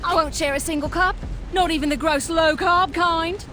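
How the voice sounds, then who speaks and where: A woman's voice: very high-pitched, steady tone, moderate pace, sharp tone, a little hiss or hum. A woman voicing a brash, taunting female mutant crocodile, a hoarding doomsday prepper in a cartoon video game. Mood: defiant.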